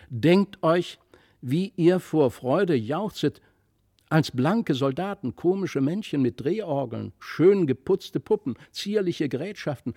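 A man's voice: mid-range voice, dark tone, dry recording, studio quality. A man narrating a literary fairy tale expressively, as an audiobook reader.

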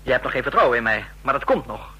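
A man's voice: medium pitch, big pitch swings, fast talker, sharp tone, husky, exaggerated voice. A man playing an ambitious, overconfident, scheming subordinate in a radio drama, eager and insistent. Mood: cocky. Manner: eager, insistent, self-assured. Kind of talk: Dutch radio play acting.

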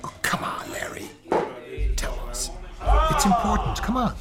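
A man's voice: high voice, sing-song, a little slow, in a whisper, over loud music. A man playing a nosy, coaxing interpreter in a radio crime drama. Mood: prying.

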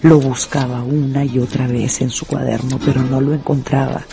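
A man's voice: medium pitch, flat delivery, moderate pace, creaky. A man narrating a scripted story dramatically for radio. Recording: music behind the voice.

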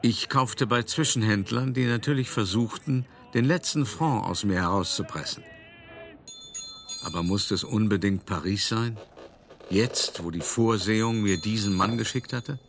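Urbane, deliberate art dealer, confiding his past. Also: fairly low pitch. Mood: reflective. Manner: deliberate, confiding, addressing the listener directly. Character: calculating male art dealer, urbane, self-justifying, recounting his past. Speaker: narrator of a radio play. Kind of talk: first-person dramatic monologue in a German radio play.

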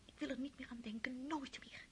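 A woman's voice: sits high, steady tone, brisk, a little hiss or hum, lightly acted. An actress playing a proud, heartbroken young woman who speaks tearfully and often in a whisper in a radio drama. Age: young adult. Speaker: daughter in a radio play.

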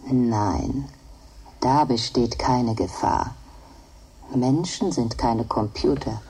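An actress playing a placid, devoted female computer in a German radio drama, speaking in a measured, deliberate way. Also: very deep voice, gravelly, mellow, husky, far from the mic, full character voice, rough recording. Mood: calm, probing.

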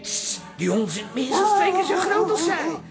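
An actor playing a plain-spoken, sceptical hairdresser in a comic radio drama, wry and grumbling. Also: male voice, very high-pitched, big pitch swings, moderate pace, over loud music. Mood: incredulous.

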